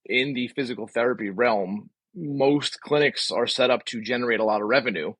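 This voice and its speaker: A man in his forties speaks as a podcast guest in a casual, emphatic way. His voice is bright and slightly rough, and he talks quickly. The sound is close and dry. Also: medium pitch, no room sound. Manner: opinionated, emphatic, uses rhetorical questions. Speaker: podcast guest, physical therapist.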